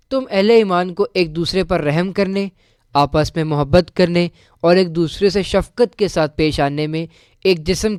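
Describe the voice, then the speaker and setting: A man presenting on radio, reading a scripted religious article in a formal, measured manner with careful enunciation. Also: fairly high pitch, clean tone, no echo, clean studio sound. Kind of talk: scripted devotional narration.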